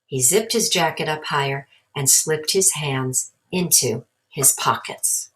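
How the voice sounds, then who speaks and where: Low-pitched woman reading a children's story. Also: slow pace, fairly bright tone, rough recording. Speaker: storybook narrator. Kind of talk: read-aloud children's story narration.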